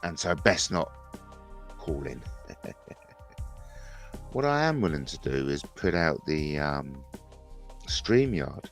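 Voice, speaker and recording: A man's voice: extremely low pitch, sing-song, slow, creaky, long pauses, faint music behind. A man in his fifties hosting a rambling, jokey livestream chat, repeating himself and mentioning his speech impediment. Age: 50s. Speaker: livestream host.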